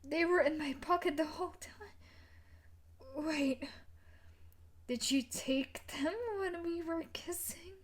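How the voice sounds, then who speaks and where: A woman playing an obsessive, possessive young scientist in an audio roleplay, with breathy, coaxing threats and pleading. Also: high voice, slow, long pauses. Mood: frantic, angry. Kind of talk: audio roleplay acting addressed to the listener.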